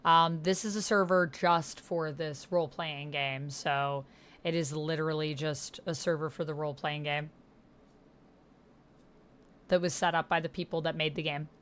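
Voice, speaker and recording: A woman with a slightly low voice talks casually as a livestreamer. She is chatty and informal, thinking out loud as she goes and reading bits of text chat aloud. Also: fairly even intonation, normal speed, smooth. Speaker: streamer and tabletop game player.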